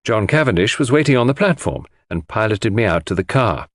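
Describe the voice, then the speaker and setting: A man's voice: lowish voice, sing-song, brisk, dry recording, clean studio sound. A man reading a scripted first-person literary narration as an audiobook narrator.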